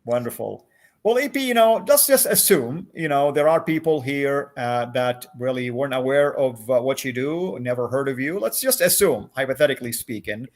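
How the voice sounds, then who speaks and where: A man hosting a livestream interview over a video call, friendly, with many filler "uh"s and animated questions. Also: medium pitch, normal speed. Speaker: host.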